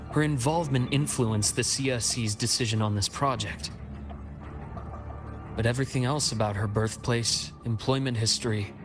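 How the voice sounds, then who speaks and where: An actor playing a composed, shrewd investigator in a video game, speaking in a measured, analytical way. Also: male voice, mid-range voice, lightly acted. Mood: composed, serious. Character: composed, shrewd male investigator sharing intelligence. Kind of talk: scripted video game dialogue.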